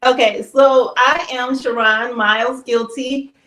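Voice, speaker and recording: A woman's voice: a little high, steady tone, slow pace, fairly bright tone. Chatty woman in her fifties, echoey. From Chicago, USA. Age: 50s. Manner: chatty, loose storytelling. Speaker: guest, hairstylist. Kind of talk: casual interview. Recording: echoey room.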